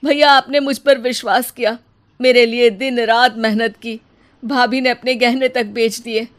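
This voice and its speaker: A guilt-ridden younger brother pleads in a fairly high voice performed by a woman. The manner is earnest and imploring, suited to a cartoon moral tale. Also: fairly even intonation, unhurried, close to an everyday voice. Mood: remorseful. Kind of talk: acted dialogue in a cartoon moral story.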